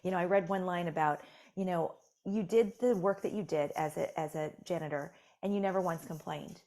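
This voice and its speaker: A woman with a slightly low voice speaks as an author guest in a conversational interview. Her tone is encouraging and affirming, and she pads her speech with "you know". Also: brisk, warm tone.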